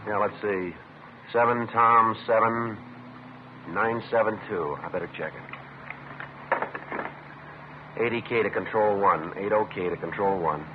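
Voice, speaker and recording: Through a tinny, noisy old radio recording comes a man acting a methodical police sergeant with a low-pitched voice. He is clipped and deadpan, giving facts like a report in a 1950s crime drama. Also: moderate pace, lightly acted. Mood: terse, focused. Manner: deadpan, matter-of-fact, clipped. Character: terse, methodical male police detective sergeant. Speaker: lead actor and narrator.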